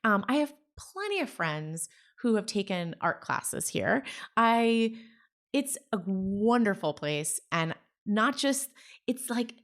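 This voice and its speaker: An enthusiastic woman in her forties, talking as a guest in a relaxed interview. She gushes about what she loves and grows animated as she goes, with a voice of middling pitch. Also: takes it slowly. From New Jersey. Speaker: interview guest, story salon founder. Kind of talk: casual conversation.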